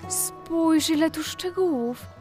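A woman's voice: very high-pitched, slow pace, a little mellow, faint music behind. A woman playing an eager, curious little girl full of wonder in a children's audio play. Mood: awed, excited. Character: curious, enthusiastic little girl exploring with brother.

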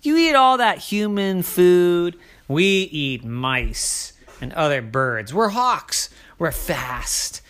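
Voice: medium pitch, big pitch swings, takes it slowly, hardly any pauses, fairly bright tone. A storyteller voicing a group of boastful male hawks who tease and brag. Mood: smug. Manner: bragging, teasing.

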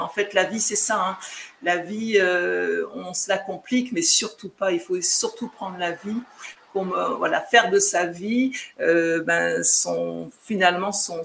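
A woman's voice: lowish voice, vocal fry, a bit bright, a little hiss or hum. A woman from France chatting on a live stream with her viewers, talking hesitantly with many fillers and restarts.

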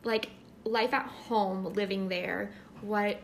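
A woman's voice: medium pitch, fairly even intonation, slow pace, slight background noise. A young woman co-hosting a casual podcast, chatty and bubbly, with lots of fillers. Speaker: podcast co-host.

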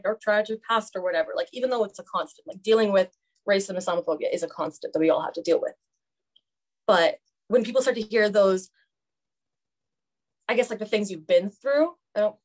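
A young woman talking as a student guest in a casual podcast roundtable, speaking candidly with a lot of "like" fillers. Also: mid-range voice. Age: young adult. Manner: candid, chatty, many "like" fillers.

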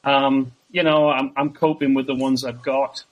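A man's voice: mid-range voice, little pitch movement, normal speed, rough recording. A man from Wales, chatting casually as a guest on a podcast and laughing between his stories. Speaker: guest, tribute band singer.

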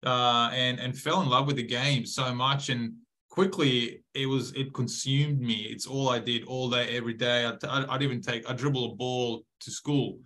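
A man talking as a podcast guest, friendly and relaxed with plenty of fillers. His voice is bright and forward, and it sits at an ordinary middle pitch. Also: moderate pace, few pauses. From Croatia/Serbia, raised in Australia. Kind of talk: casual interview.

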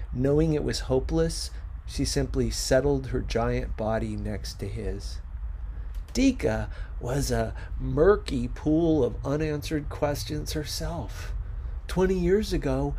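Guy reading a story out loud, takes it slow, dramatic phrasing, bit of background noise. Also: mid-range voice, low-quality recording. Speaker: audiobook-style reader. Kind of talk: expressive scripted story narration.

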